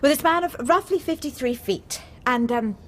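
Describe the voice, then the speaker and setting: High-voiced, hesitant briefer. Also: female voice, animated, slight background noise. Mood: nervous, polite. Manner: halting, polite. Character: hesitant, earnest female wartime technical briefer. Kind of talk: radio drama acting.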